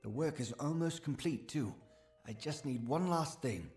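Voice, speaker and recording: A man's voice: on the high side, animated, normal speed, a little mellow, lightly acted. A man voicing an eager, self-effacing village sagecrafter in a fantasy game, earnest, hesitant and deferential. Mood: earnest, uneasy. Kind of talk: scripted game dialogue. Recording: roomy echo, background music.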